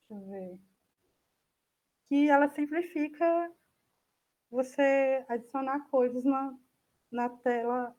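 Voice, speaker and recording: A woman's voice: high-pitched, mellow, poor audio. A Brazilian woman chatting casually in an online question session, informal, self-effacing and a little hesitant. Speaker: speaker giving an online talk.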